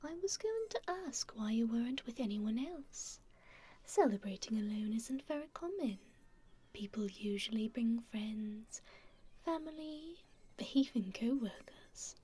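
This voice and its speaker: Girl doing a sweet teasing stranger lady for asmr roleplay, kinda high but super breathy, slow and gentle, whispery. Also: animated. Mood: wistful, curious. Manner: gentle, unhurried, softly teasing. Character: kind, teasing female stranger caring for a festival-goer. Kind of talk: ASMR roleplay, intimate personal attention.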